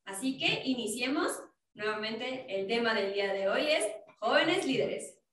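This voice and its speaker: A woman's voice: on the high side, lively intonation, a little slow, recorded from a distance. A young woman hosting a casual podcast, chatty, with many fillers. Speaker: podcast host. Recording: echoey room, voice a bit off-mic, faint noise.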